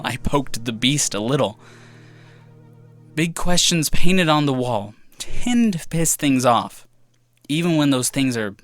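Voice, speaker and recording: A man's voice: mid-range voice, no echo, clean studio sound, close to an everyday voice. A man playing a brooding young student loner. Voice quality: soft-spoken, reflective, hesitant. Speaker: student character. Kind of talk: audio drama monologue. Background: music.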